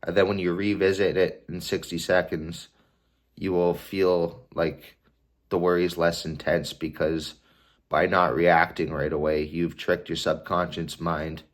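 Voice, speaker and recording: A young man with an extremely deep voice speaks in an unhurried, calm way. He sounds stuffed up from a cold and gives casual advice, with small fillers and restarts. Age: 20s. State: congested from a cold. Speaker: vlogger sharing mental health tips. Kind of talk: casual advice talk to camera.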